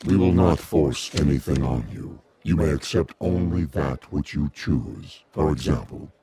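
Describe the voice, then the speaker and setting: Very deep, dark-voiced alien emissary. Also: male voice, slightly rough, no echo, full character voice. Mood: calm, courteous. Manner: formal, measured, deliberate wording. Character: towering alien emissary, courteous, calm, inscrutable. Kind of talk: radio drama acting.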